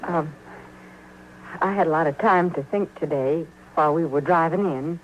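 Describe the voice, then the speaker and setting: An actress playing a sad, guarded frontier woman with halting American speech, in old-time radio western style. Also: sits low, natural voice. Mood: sad, hesitant. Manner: halting, stammering, reticent. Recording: muffled, hissy old radio recording.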